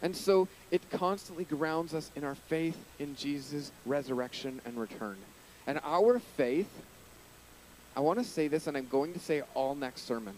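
A man in his thirties preaching a sermon into a handheld mic in a small church hall, earnest, lifting his voice on key points and dropping low at phrase ends. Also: expressive, moderate pace, darkish tone, a little hiss or hum. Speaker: pastor. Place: church hall with curtains, small indoor stage.

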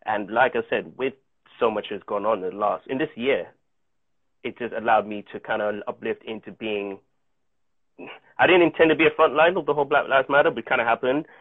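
A man's voice: medium pitch, fairly quick, no echo. A man in his thirties from South Africa, whose first language is Chichewa, talking earnestly and reflectively as an interview guest over a video call. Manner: earnest, reflective storytelling with many restarts. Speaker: guest actor. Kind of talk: casual interview. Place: home room on a video call. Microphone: video call with earphone mic. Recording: tinny dry phone-like call audio.

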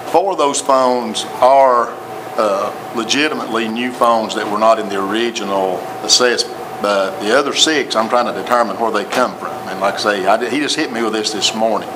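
A man with a Tennessee accent giving a plainspoken report to a city council, repeating himself as he explains. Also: fairly low pitch. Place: council chamber with audience. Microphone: table microphone. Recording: noisy council chamber recording.

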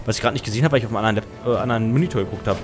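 A man's voice: fairly low pitch, racing. A young man with a German accent casting a match live, thinking aloud and correcting himself as he goes. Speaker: game caster. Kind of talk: casual game commentary. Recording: music under the voice.

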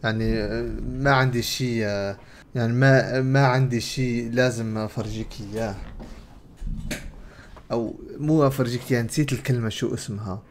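A young man with a Levantine Arabic accent talks casually as a game streamer, translating dialogue as he goes. His voice sits at a middle pitch, with clear game noise behind it. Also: steady tone, fairly quick. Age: young adult. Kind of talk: casual gameplay commentary, translating dialogue aloud. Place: indoor room.

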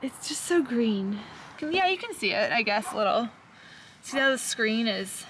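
A young woman vlogging to a camera at arm's length, excitable and quick to giggle, first in a car and then outdoors. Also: a little high, a little hiss or hum, rough recording. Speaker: homestead vlogger. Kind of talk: casual vlog chat.

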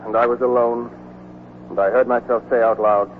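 A man's voice: medium pitch, little pitch movement, moderate pace, close to an everyday voice. An actor playing a weary American army deserter narrating his wartime love story in a 1940s radio drama. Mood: grim narrating. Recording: muffled, noisy 1949 radio broadcast recording.

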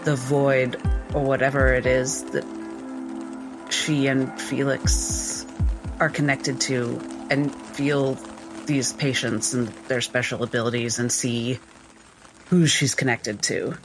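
A woman playing a tabletop game, roleplaying and narrating with deliberate pauses between phrases. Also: a bass voice, level tone, music in the background, low-quality recording.

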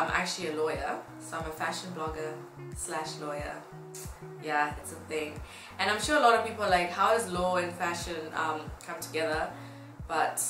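Low-pitched young woman, roomy vlog sound. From Tanzania. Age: 20s. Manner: upbeat, informal. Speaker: fashion blogger. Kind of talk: casual chat to camera. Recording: roomy echo, music behind. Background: music.

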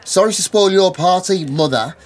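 A man playing a wounded, sulky actor in the role of a grown son in a radio soap, measured and hurt. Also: sits high, close to an everyday voice. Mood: sulky, curt. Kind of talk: radio drama acting in screen comedy. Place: small radio recording studio.

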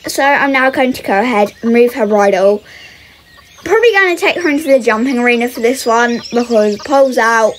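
A woman's voice: fairly high pitch, rough recording. A young woman giving casual commentary as she rides a horse in a game, chatty and full of fillers, coaxing her horse along. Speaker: vlogger narrating a horse game. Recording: loud noise behind the voice.

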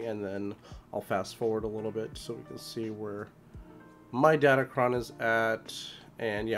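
Slightly hoarse man explaining gameplay. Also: medium pitch. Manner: explanatory, informal. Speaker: gaming video creator. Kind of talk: casual gameplay strategy commentary. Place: small room at home.

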